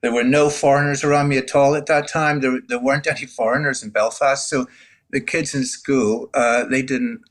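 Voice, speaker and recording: A man in his sixties from Northern Ireland, a guest telling his life story in a relaxed, conversational way, full of "you know". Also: mid-range voice, moderate pace. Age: 60s. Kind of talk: candid storytelling.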